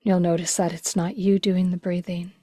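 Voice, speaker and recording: A woman leading a guided meditation slowly, in a gentle, hushed, breathy voice with long pauses. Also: lowish voice, flat delivery, dry recording. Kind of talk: guided meditation, slow and spacious.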